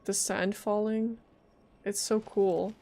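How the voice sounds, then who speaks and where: A woman's voice: medium pitch, little pitch movement, takes it slowly, dark tone, a little hiss or hum. A woman giving casual live gameplay commentary, thinking aloud and often questioning what she sees. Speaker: game streamer. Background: faint game music.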